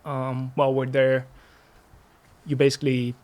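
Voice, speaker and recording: A man who grew up in the Netherlands, speaking with a Dutch accent as an interview guest, casually explaining and telling stories with pauses. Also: medium pitch, monotone, darkish tone. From Netherlands, born in Russia. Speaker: podcast guest.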